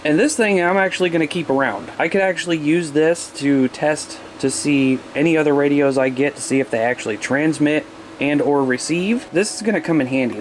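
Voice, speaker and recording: A man's voice: medium pitch, slight background noise. A man chatting in a folksy, informal way as he casually shows off his hobby gear. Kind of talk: casual show-and-tell vlog.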